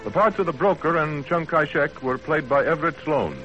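A man announces in a bright, forceful voice pitched a little high. His delivery is polished, formal and scripted, on a dull vintage 1930s radio recording. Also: fairly quick, faint music behind.

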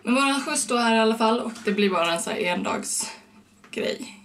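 A woman's voice: on the high side, expressive, slight background noise. A young woman from Sweden vlogging casually to a handheld action camera, chatty and upbeat. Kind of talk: casual vlog chat to camera. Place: outdoors in a busy amusement park and town streets. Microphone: action camera held at arm's length. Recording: loud crowd and music behind. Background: loud music and crowd.

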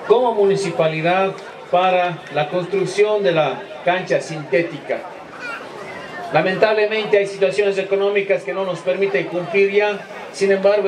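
A man's voice: sits high, recorded from a distance. A middle-aged man giving an official public speech into a handheld mic on an outdoor stage. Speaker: mayor. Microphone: handheld microphone. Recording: echoey outdoor PA, loud crowd noise.

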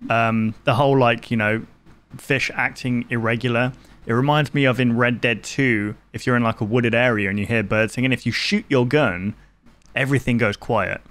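A man hosting a reaction video, chatting and reacting aloud in an excitable, casual way. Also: mid-range voice, moderate pace. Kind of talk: reaction commentary.

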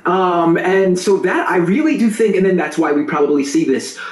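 Halting, slightly high-voiced man. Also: expressive, reverberant. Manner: halting, repeats words. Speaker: panel guest. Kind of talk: casual panel talk.

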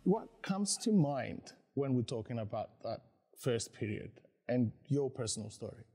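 A man hosting a panel show, opening with a clear presenter delivery in a large indoor atrium. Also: mid-range voice, big pitch swings, measured pace, warm tone, faint music behind. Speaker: host.

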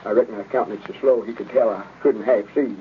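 An actor playing a slow-witted, good-natured young country fellow, simple and hesitant, with a rural Southern accent in a 1930s radio comedy. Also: male voice, fairly low pitch, steady tone, lightly acted. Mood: earnest, simple. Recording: tinny, noisy old radio recording.

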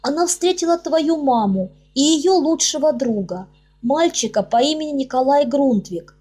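A woman's voice: sits high, lively intonation, normal speed, dark tone. A woman voicing a sorrowful young male elf in an audiobook, telling things in a pained, earnest way. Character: sorrowful young male elf recounting his people's plight. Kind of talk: audiobook character reading. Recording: music under the voice.

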